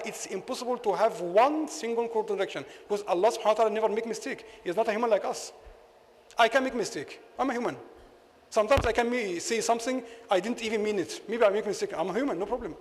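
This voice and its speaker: A high-voiced man with an Egyptian accent lectures in accented English. He argues his case and keeps asking the listeners rhetorical questions. His voice rings with long reverb in a mosque hall. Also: quick pace. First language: Arabic. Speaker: imam.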